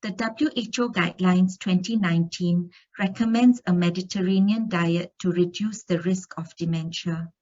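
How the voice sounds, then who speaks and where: Low-voiced woman lecturing on research. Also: steady tone, normal speed, few pauses, darkish tone, muffled recording. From Singapore. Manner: reads steadily through research findings, with occasional fillers and restarts. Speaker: conference lecturer. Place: conference room.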